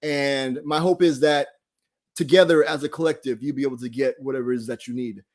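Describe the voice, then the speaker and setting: A man's voice: mid-range voice, fairly even intonation, fairly quick. A man hosting an online study group, casually explaining website features in an informal, laid-back, conversational way.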